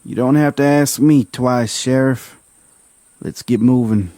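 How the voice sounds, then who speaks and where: A man's voice: medium pitch, measured pace, warm tone, a little hiss or hum, natural voice. A man acting a mocking, ornery western townsman in a radio drama, with a needling, folksy drawl. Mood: eager.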